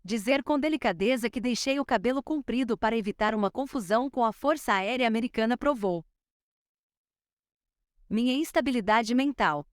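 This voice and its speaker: Woman narrator, Brazilian Portuguese accent, smooth and clear, dry close recording, steady audiobook read. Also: a little high, no room sound. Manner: steady, measured reading. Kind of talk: scripted audiobook reading.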